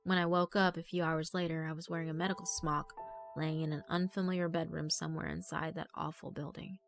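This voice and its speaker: A woman giving a grave, deliberate, dramatic reading of a horror story as narrator. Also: sits low, flat delivery, creaky. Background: background music.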